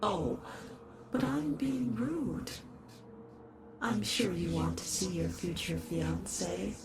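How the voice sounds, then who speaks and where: A performer voicing a lovesick shapeshifting horror in a roleplay monologue, doting and possessive, halting, with soft menace in the endearments. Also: medium pitch, vocal fry, noisy background. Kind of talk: dramatic roleplay monologue addressed to the listener.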